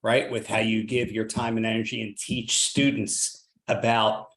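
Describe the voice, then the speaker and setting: A man's voice: on the low side, level tone, a little slow, fairly bright tone, poor audio. A man hosting a podcast interview, upbeat and encouraging, often tagging sentences with "right?". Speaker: podcast host, real estate investor.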